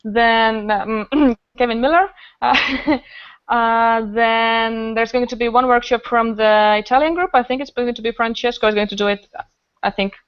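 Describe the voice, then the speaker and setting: Female festival organiser, clear highish voice, rambling and keen podcast chat. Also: fairly even intonation, measured pace, no echo. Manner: enthusiastic, rambling. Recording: dull lo-fi podcast recording.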